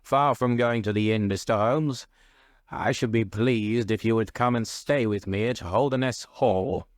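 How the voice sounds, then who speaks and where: A man's voice: fairly low pitch, measured pace, no room sound, lightly acted. A stony, stately duke as a male narrator plays him in a classic detective tale. He speaks with grave, sonorous formality, and the recording is dry. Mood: stately, gracious.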